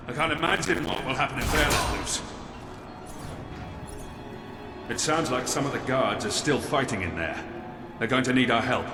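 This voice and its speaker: An actor playing a grim, dutiful military commander in a video game, speaking in an earnest, formal, authoritative way. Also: male voice, mid-range voice, natural voice. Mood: tense, wry. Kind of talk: video game cutscene acting.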